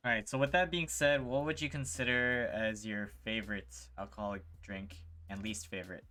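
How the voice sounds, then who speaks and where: Man hosting a podcast, low-key. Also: steady tone, a little fast, sharp tone, soft background music. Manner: brief, low-key backchannels and prompts. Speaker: podcast host. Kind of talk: interview questions.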